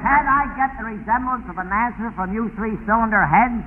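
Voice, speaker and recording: A raspy-voiced American man hosting a 1940s radio comedy quiz as an exasperated, insulting straight man. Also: sits high, a little fast, background noise. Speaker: comedy quiz show host. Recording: tinny 1940s radio broadcast recording.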